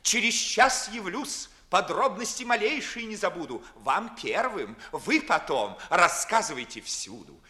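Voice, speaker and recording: An actor playing a witty, ardent young gentleman in a declamatory, mocking manner, in 1950s Russian theatrical radio verse. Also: high voice, big pitch swings, a little slow, hardly any pauses, heavily acted. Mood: hurried, smitten. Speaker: stage actor. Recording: early 1950s studio radio recording.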